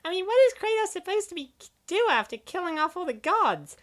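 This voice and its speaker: Woman acting as young female gamer, high voice, very animated, sardonic, opinionated, comic dub banter. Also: fairly quick. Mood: sardonic ranting.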